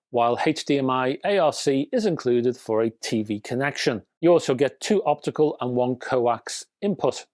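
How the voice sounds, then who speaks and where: A man's voice: medium pitch, darkish tone, dry recording. Guy doing a gadget news video, chatty w wry little asides, really dry sound no room. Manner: conversational, rhetorical questions and wry asides. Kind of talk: product news presentation to viewers.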